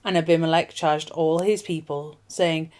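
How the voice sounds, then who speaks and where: A woman reading scripture aloud as formal narration, in measured, solemn phrases. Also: deep voice, fairly even intonation, darkish tone. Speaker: Bible reader.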